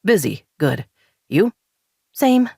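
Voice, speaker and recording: A woman's voice: medium pitch, sing-song, slow, creaky, darkish tone, breathy edge, no echo, studio quality, pitched above the performer's own voice, exaggerated voice. A woman reading as a frustrated, heated wife. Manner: heated, insistent. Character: frustrated married woman, hurt and angry.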